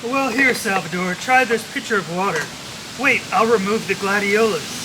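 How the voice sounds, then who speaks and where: A man's voice: high voice, moderate pace, close to an everyday voice. A man playing a polite, matter-of-fact gangster henchman in an old-time radio detective play. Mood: courteous. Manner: courteous, matter-of-fact menace. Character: polite, unhurried male thug called Uki, mock-courteous. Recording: noisy live recording with hiss.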